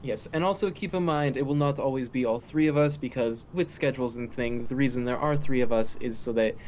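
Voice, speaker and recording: A man's voice: medium pitch, fairly quick, darkish tone, slight background noise. A man co-hosting a live radio podcast, a voice actor who talks in a playful, theatrical way. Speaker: co-host, voice actor. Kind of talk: casual live podcast chat.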